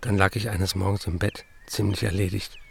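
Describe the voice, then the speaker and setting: An actor playing a hard-drinking, excitable male novelist with a gravelly, husky voice, reading letters and memories in a radio play, dryly and wryly. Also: low-pitched, a little fast, very noisy. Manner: dry, wry first-person storytelling and letter reading.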